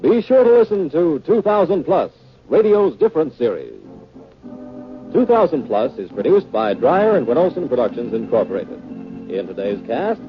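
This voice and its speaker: An American man announcing formally and emphatically from a script in 1950s radio style. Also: fairly high pitch. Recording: muffled 1950 radio broadcast.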